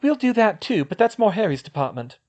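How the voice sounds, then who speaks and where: A man voicing a sharp, determined teenage witch who talks briskly and decisively. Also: high voice, sing-song, lo-fi sound, pitched above the performer's own voice. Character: sharp, determined teen witch, organized planner.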